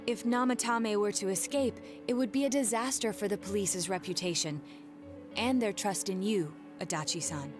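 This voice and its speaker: A woman's voice: mid-range voice, moderate pace, over music, lightly acted. A woman playing a composed young detective in a video game, earnest, formal and precise in explaining. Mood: formal, concerned. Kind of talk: English video game voice acting.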